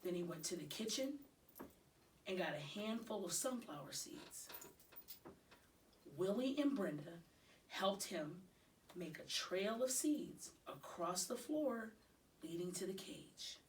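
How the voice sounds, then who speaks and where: Expressive woman reading a children's story. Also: medium pitch, slow pace, long pauses, far from the mic, a little hiss or hum, low-quality recording. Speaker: audiobook reader.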